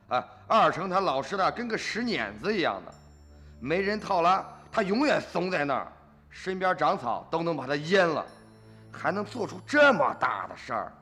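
An actor playing a brash, dismissive middle-aged farmer's son in a radio drama, blustering and scoffing in colloquial speech. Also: male voice, on the high side, big pitch swings, moderate pace, sharp tone, faint music behind, full character voice. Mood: scornful.

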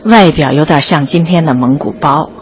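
A woman's voice: sits low, animated, moderate pace, highs cut off. A woman giving an unscripted guided tour, explaining things step by step as she points them out. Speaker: museum guide. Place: on location at an exhibition site. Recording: clearly noisy on-site ambience.

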